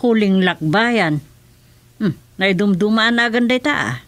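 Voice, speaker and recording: An older village lady in a comedy radio serial, wary and quick to question. She has an Ilocano accent and a medium-pitched voice, recorded close and dry. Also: animated, fairly bright tone, no room sound. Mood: puzzled. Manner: reactive, questioning, colloquial. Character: wary, easily startled female village senior. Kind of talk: rural comedy radio drama acting.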